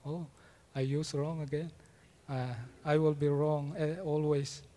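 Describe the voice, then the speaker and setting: Guy preaching in broken english, kinda high voice, slow, lots of restarts, hall echo. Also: little pitch movement, warm tone. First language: not English. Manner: halting non-native English, frequent restarts. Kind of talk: sermon. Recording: long hall reverb.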